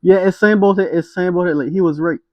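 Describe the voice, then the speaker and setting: A man's voice: sits high, fairly even intonation, racing, a little mellow, no echo. Bajan man interviewing, dry dull sound. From Barbados. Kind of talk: casual chat in dialect.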